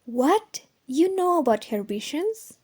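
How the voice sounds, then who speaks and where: A woman's voice: high-pitched, very animated, slow, darkish tone, full character voice. A woman playing a curious, practical fugitive companion in an amateur audio drama. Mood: matter-of-fact.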